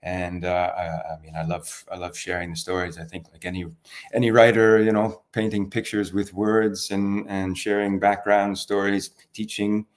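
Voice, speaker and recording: A man's voice: low-pitched, level tone, moderate pace. A man with a Canadian accent, an interview guest telling stories conversationally in an affable way. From Toronto, Canada. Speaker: interview guest, wine expert. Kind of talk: relaxed conversational storytelling.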